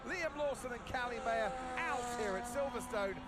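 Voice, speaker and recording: An actor playing an excited motor racing commentator in a video game. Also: male voice, extremely high pitch, normal speed, very noisy. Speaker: race commentator.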